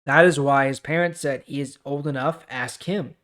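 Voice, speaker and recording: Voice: mid-range voice, moderate pace, fairly bright tone. A host of a Bible reading series, mixing scripture read aloud with informal, explanatory asides to listeners.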